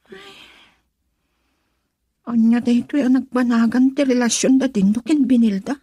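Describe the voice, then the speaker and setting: Concerned loyal young friend, high pitch, Ilocano accent, radio love drama. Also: female voice, a little mellow, dry recording. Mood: puzzled.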